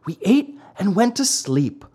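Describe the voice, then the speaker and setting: A narrator voicing a whiny, stubborn little wooden boy marionette in a high, squeaky, childlike storybook voice. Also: big pitch swings, measured pace, dark tone, husky. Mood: excited, breathless telling. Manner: whining, childlike, pleading.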